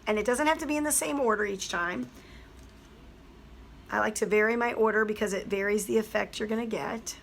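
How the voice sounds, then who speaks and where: Hoarse woman explaining a craft. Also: mid-range voice, a little fast, slight background noise. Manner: chatty, step-by-step explaining. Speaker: teacher; craft tutorial host. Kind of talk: craft tutorial voice-over.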